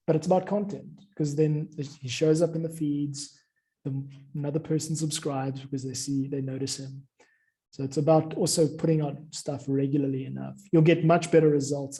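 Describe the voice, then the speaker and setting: A man's voice: on the high side, flat delivery, moderate pace, mellow, poor audio. A man in an informal, discursive chat, explaining his ideas as he goes. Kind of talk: informal chat about craft.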